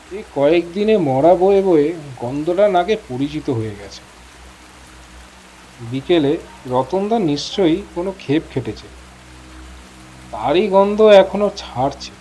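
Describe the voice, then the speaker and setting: A man's voice: a little high, darkish tone, a little hiss or hum. Suspenseful male horror narrator, rain behind. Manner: suspenseful, theatrical delivery. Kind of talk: dramatic scripted narration of a horror story.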